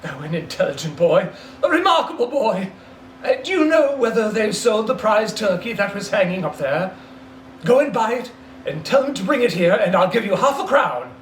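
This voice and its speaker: A man voicing an excitable old miser, theatrical and exclamatory, with drawn-out words in a storybook reading. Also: high voice, pitched above the performer's own voice. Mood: giddy joy. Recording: roomy echo, distant mic, faint noise.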